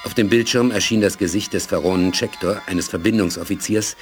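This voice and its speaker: A man as the grave, measured narrator of a sci-fi radio play, reading scripted narration. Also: low-pitched, natural voice. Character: grave, measured male storyteller of space saga. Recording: music behind the narration.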